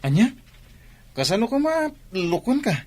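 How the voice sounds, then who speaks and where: A man's voice: very high-pitched, very animated, a little slow, a little mellow, full character voice. A man with an Ilocano accent playing a sly young student who sweet-talks, in a radio drama style. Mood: sweet-talking. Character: sly young student sweet-talking his older girlfriend. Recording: faint background noise.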